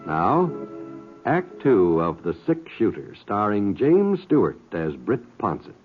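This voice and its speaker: A man's voice: on the low side, wildly swooping pitch, takes it slowly, soft background music. A man announcing an old-time radio show in a formal scripted style. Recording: dull old radio broadcast.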